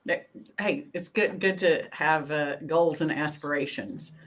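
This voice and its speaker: A woman's voice: deep voice, measured pace. Crude joking woman in her fifties. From Texas. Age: 50s. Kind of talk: casual podcast banter.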